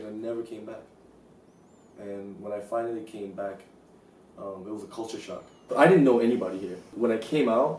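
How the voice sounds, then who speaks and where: A man's voice: fairly low pitch, mellow, a little hiss or hum. A man, talking casually in an interview, loose and rambling with many fillers. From South Korea. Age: 20s. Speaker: street artist interviewee. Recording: roomy, distant mic, faint ambience.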